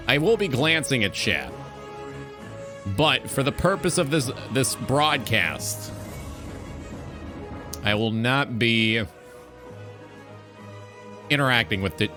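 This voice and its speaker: A man's voice: mid-range voice, sharp tone. Male talk-stream host, radio-show style, announcer-like emphasis, widely swinging intonation, faint music underneath.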